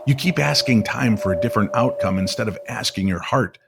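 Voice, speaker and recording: A man playing a calm, grave stranger in an audio drama, speaking deliberately and with gentle firmness. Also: mid-range voice, creaky, very noisy, lightly acted. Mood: solemn, firm. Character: calm, grave, knowing male stranger guiding a grieving woman.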